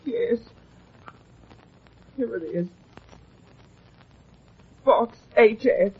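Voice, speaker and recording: A woman's voice: on the high side, drawn-out words, slight background noise. An actress playing an eager, flustered woman clerk in a vintage radio drama. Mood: helpful. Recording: muffled vintage radio recording.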